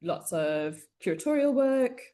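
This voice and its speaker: A woman's voice: fairly high pitch, very animated, unhurried, warm tone. A woman answering as an interview guest in an easygoing, informal way. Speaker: arts programme associate, interview guest.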